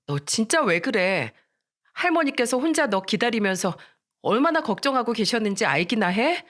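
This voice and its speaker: A woman's voice: on the high side, very animated, normal speed, fairly bright tone, voice pushed up. A woman voicing an earnest, worried teenage schoolgirl in a dramatized story, pleading and reproachful as a loyal friend. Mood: worried, indignant.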